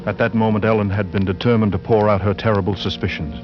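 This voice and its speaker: A man's voice: on the low side, fairly even intonation, fast talker, over music, close to an everyday voice. Man as grave suspense narrator, vintage radio sound. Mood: grave, suspenseful. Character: grave male storyteller of a suspense tale. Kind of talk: dramatic scripted 1940s radio narration. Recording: muffled, noisy old 1940s radio recording.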